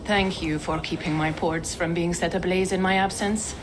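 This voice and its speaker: A haughty woman trader from Persia in a game cinematic, voiced by an actress. Her voice is on the low side, dry, teasing and precise, with clear background noise around it. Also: fairly even intonation, moderate pace, natural voice. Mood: teasing disdain. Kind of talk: video game cinematic acting.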